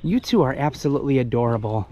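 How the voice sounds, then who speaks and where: A man's voice: mid-range voice, animated, fast, dark tone, dull audio, a little hiss or hum. A young man filming a family walk on an outdoor trail, chatting cheerfully to the camera through an action-camera mic. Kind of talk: casual chat. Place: outdoor wooded nature trail.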